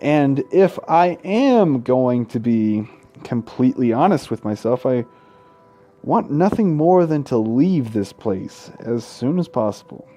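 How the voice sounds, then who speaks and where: A young man hosting a casual Let's Play, talking in a halting way and stumbling over his words. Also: mid-range voice, sing-song, mellow, soft background music. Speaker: gaming video host.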